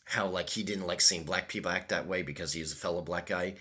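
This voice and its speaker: A man's voice: sits low, fairly even intonation, fast, sharp tone, breathy edge. A man, talking to the camera in a loose, rambling monologue, full of ums and restarts. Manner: hesitant, many ums and restarts. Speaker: vlogger.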